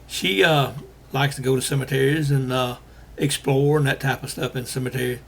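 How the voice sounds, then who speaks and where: An older man from Alabama talks to his viewers in a hoarse, gravelly voice that keeps breaking into fry. His delivery is halting, full of pauses and restarts, and his voice sounds husky and strained. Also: mid-range voice, fairly even intonation. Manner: halting, many fillers and restarts. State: losing his voice. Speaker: host. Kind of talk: casual monologue to viewers.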